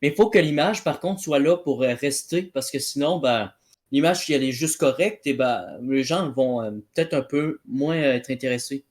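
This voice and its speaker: A man's voice: medium pitch, normal speed, darkish tone. A man chatting in an informal debate in a colloquial, somewhat hesitant way. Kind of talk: informal debate, casual discussion.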